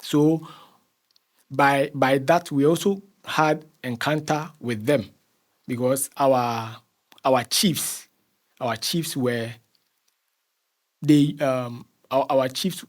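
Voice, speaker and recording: A Ghanaian man with a middling pitch talks as a guest in an interview. He explains things in a conversational way, often restarting and repeating phrases while he looks for the right word. His accent is Ghanaian. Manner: halting, repeats phrases while searching for words.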